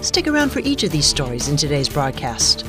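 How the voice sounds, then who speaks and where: A woman's voice: sits low, big pitch swings, brisk. Woman reading radio news over music. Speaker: news presenter. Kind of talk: scripted radio news narration.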